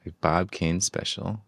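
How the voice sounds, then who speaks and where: Male podcast co-host, extremely deep, casual and a little halting, recorded dry. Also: creaky, no room sound. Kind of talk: casual chat.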